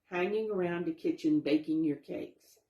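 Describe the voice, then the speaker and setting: A woman's voice: lowish voice, normal speed, highs cut off, lightly acted. A woman voicing a boastful, easily hurt young male wolf in a children's storybook, with emphatic, exclaiming delivery. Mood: defiant. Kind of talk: children's storybook read-aloud. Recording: roomy, echoing sound.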